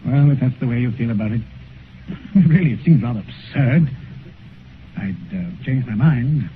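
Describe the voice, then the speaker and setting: A man's voice: medium pitch, animated, moderate pace, lightly acted. Man playing earnest British painter, muffled old radio. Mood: puzzled, insistent. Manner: earnest, pleading, reproachful. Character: earnest, wounded gentleman painter, morally troubled friend. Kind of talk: 1950s radio drama acting. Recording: muffled 1950s radio recording, faint noise.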